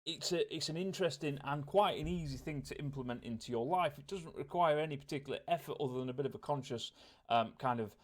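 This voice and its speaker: A man's voice: fairly high pitch, fast talker. A man talking casually and reflectively in a personal vlog, rambling a little and repeating words as he thinks aloud. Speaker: vlogger. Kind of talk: casual vlog talk to camera, self-reflective.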